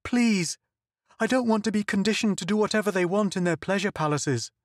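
A man's voice: a little high, animated, unhurried, no echo, studio quality. A man voicing a frightened, devoted young woman who pleads through tears in an audiobook. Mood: desperate. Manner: pleading, tearful. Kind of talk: audiobook character voice.

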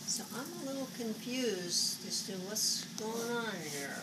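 A woman's voice: a little high, animated. A woman in her seventies playing a flamboyant, agitated psychic who scolds spirits in a dramatic stage monologue. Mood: frustrated. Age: 70s. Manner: dramatic, exasperated, halting muttering to outbursts. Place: small indoor stage set. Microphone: camera a few metres away. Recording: distant camera recording, noisy room.

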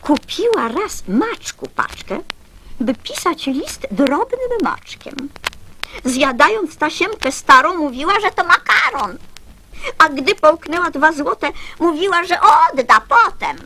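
A woman's voice: high-pitched, sing-song, takes it slowly, bright, forceful tone, slight background noise, low-quality recording. A woman reciting a children's poem in a theatrical, storytelling manner.

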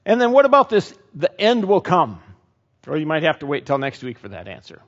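A middle-aged man preaching a sermon and leading a closing prayer, slow and earnest with frequent pauses, on a headset mic. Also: on the high side, wildly swooping pitch. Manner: slow, earnest, pausing often. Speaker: pastor. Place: church stage, indoors. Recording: dull church recording with long reverb.

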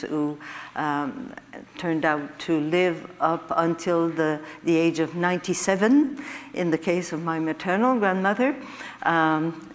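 A woman in her sixties with a Quebec French accent, French her first language, speaking on a discussion panel, full of hesitations and restarts. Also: low-pitched. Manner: halting, many hesitations and restarts. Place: stage in an event hall. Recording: hall reverb.

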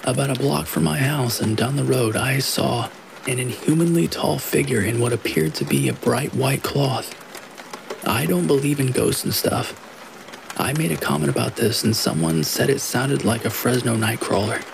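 A man's voice: medium pitch, moderate pace, vocal fry. A man narrating scary stories in a scripted storytelling read, with rain falling behind him. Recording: steady rain noise behind the voice.

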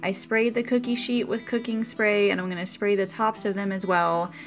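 A woman talks through her meal prep in a relaxed, practical voice-over, pitched in the middle of the range. Soft music plays faintly under her voice. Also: moderate pace. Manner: chatty, practical, explaining step by step. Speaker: meal prep video host. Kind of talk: casual cooking voice-over.

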